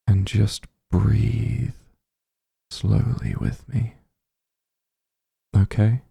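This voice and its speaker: Man voicing a very deep, gravelly, doting demon lord. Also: no room sound, studio quality, heavily acted. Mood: soothing, hushed. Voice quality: very dark, husky, gravelly, breathy. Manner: tender, coaxing, teasing, hushed. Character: doting, possessive demon lord, soft and dominant. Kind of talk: ASMR roleplay, intimate comforting monologue. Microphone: close microphone, dry.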